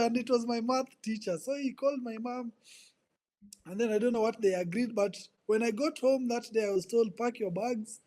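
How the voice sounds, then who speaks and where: A man in his twenties with a Kenyan accent, telling his life story as a podcast guest in an earnest, halting way, often repeating words. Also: sits high, warm tone, poor audio. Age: 20s. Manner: earnest, repeats words while searching for them. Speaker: guest, ministry coach. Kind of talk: personal testimony in conversation.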